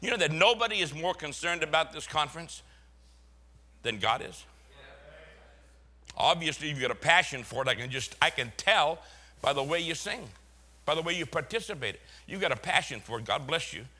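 Older boston pastor guy, folksy joking sermon, real bright forceful voice, a bit high. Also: lively intonation, normal speed, pauses often. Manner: folksy, chuckling storyteller. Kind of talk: sermon with humorous stories.

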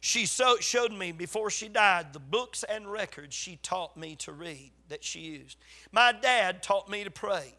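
An older man with a Southern US accent preaching in a folksy, emphatic storytelling way, his voice slightly raspy from a lingering cold. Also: high-pitched, animated, a little slow, bright, forceful tone. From South Carolina, USA. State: getting over a cold. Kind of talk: sermon to a congregation.

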